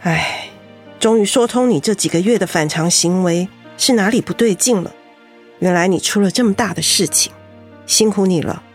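A woman's voice: medium pitch, normal speed, faint music behind, natural voice. An actress playing a sensible, motherly senior colleague in a Mandarin radio drama, scolding yet caring, with a Taiwanese accent. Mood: tender.

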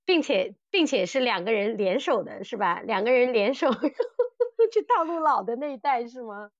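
A woman with a slightly high voice chats as a podcast co-host. She circles through repeated phrases in a casual, halting way. The recording is dry and a little dull. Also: very animated, no room sound.

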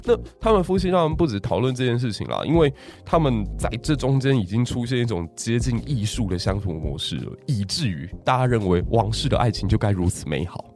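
A man with a Taiwanese Mandarin accent tells a royal history story on a podcast at a brisk clip. His pitch swings widely, and he keeps turning to his listeners with rhetorical questions. Soft music plays faintly underneath. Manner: animated, asks the audience rhetorical questions.

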